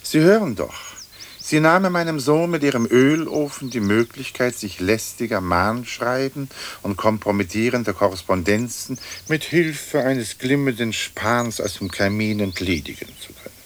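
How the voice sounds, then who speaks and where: An actor playing a haughty old British lord, a ghost speaking in ornate, pompous German radio play style. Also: male voice, medium pitch, expressive, moderate pace, lightly acted. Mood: reproachful. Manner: pompous, ornate aristocratic speech.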